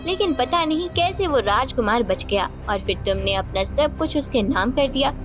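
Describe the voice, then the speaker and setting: A woman's voice: sits high, animated, normal speed. A woman voicing a scheming, vengeful queen in a cartoon moral story, accusing and theatrical in manner. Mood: bitter, vengeful. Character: vengeful, scheming young queen, cold and mocking. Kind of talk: dramatic Hindi moral-story cartoon dialogue. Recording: loud music bed behind voice.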